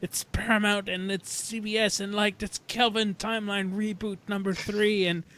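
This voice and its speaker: Halting man chatting casually. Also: sits high, level tone, bright, forceful tone. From Canada. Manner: hesitant, halting delivery with repeated words. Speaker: podcast panelist. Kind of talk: casual fan chat.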